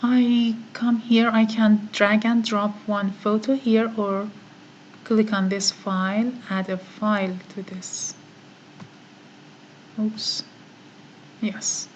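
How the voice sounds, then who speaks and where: A woman whose first language is Farsi, giving a step-by-step online course tutorial, talking methodically and pausing on "uh" often. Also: medium pitch, slight background noise, rough recording. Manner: slow, methodical, with frequent "uh" pauses. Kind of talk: screen-recorded software tutorial.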